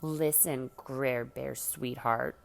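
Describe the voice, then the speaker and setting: A woman reader plays a teenager from a young adult story, sharp-tongued yet caring. The voice sits low and has a creaky grain. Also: fairly even intonation, takes it slowly, exaggerated voice. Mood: threatening.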